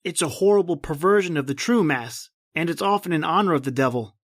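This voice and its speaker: A man's voice: on the high side, fairly quick, no echo, studio quality, lightly acted. A man playing a matter-of-fact house guest in an old-time radio drama. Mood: wary.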